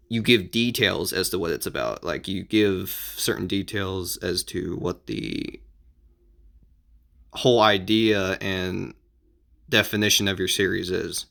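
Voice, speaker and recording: A man giving casual advice as an amateur video creator, explaining in an informal, halting and repetitive way. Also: low voice, creaky, fairly bright tone.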